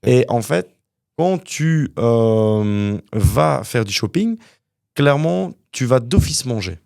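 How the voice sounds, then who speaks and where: A young man from Canada, a guest entrepreneur telling his story in a casual interview, animated and full of fillers. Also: mid-range voice, slow pace, creaky, dry recording, studio quality. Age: young adult.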